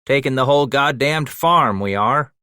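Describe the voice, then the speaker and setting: A man's voice: medium pitch, normal speed, sharp tone, smooth, no room sound, studio quality, voice pushed up, natural voice. A man doing a crude swaggering frontiersman. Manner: crude, swaggering, drawling slang.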